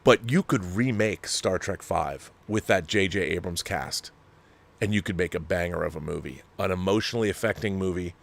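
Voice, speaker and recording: Low-voiced man rambling with emphatic opinions. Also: gravelly. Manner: rambling, emphatic, halting mid-sentence and rephrasing. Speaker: host of a film discussion show. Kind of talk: casual opinionated commentary, reading viewer letters.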